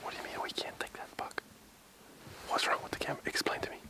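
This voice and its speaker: A man's voice: quick pace, bright, forceful tone, no echo. A man hunting from a tree stand, whispering urgently and with frustration. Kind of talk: whispered field talk.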